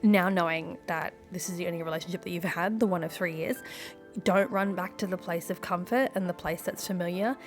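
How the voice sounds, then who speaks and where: A young woman with a croaky voice from a cough, reading online posts aloud and reacting with sassy asides. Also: fairly low pitch. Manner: sassy, laughs often, mutters asides. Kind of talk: casual reaction commentary.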